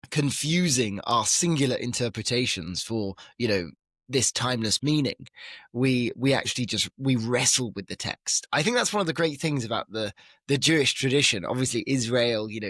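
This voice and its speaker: A man's voice: mid-range voice, fairly bright tone, dry recording, clean studio sound. Man explaining with emphatic energy. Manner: explanatory, with emphatic rises and light laughs. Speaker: guest scholar. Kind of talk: casual conversation.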